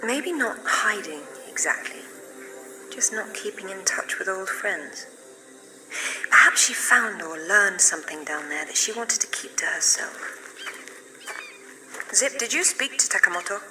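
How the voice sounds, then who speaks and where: A woman's voice: medium pitch, animated, a little slow, pauses often, background noise, close to an everyday voice. An actress playing a confident female adventurer archaeologist, dry and self-assured. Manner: cool, wry and self-assured. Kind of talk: video game cutscene acting. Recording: game audio under loud music.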